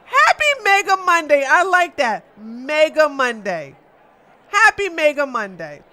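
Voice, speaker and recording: Woman, livestream host, high voice, very lively pitch, slow-paced casual chat, bright tone, playful and teasing. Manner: animated, greets viewers with loud high exclamations. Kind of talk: casual livestream chat, reading and answering viewer messages.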